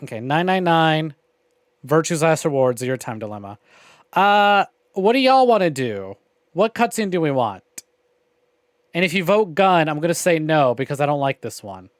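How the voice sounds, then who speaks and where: Wry, higher-pitched man streaming a game. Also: big pitch swings, normal speed, no room sound, studio quality. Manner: wry, profane, opinionated grumbling. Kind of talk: casual livestream commentary on a visual novel game.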